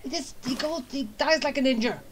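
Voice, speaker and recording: A woman's voice: high-pitched, a little fast. A woman giving casual gameplay commentary in short, offhand reactions, half to herself. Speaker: gamer giving live commentary. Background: loud game music and effects.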